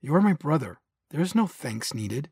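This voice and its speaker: An actor playing a loyal, caring brother with a serious, sincere delivery in an audio drama. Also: male voice, on the high side, sing-song, a little slow, a little mellow, breathy edge, dry recording, clean studio sound, full character voice. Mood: earnest, supportive. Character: loyal, supportive brother of a hunter, grave and caring.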